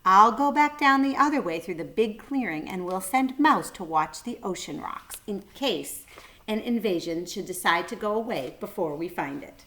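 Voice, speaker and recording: A woman's voice: mid-range voice, animated, moderate pace, sharp tone. A woman voicing a suspicious, grumbling wild boar in a children's storybook. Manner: muttering in clipped, grumbling phrases.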